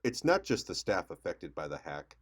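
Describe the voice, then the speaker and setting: Young male local news reporter, lower-pitched voice, scripted delivery. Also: huge pitch swings, normal speed, husky. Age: young adult.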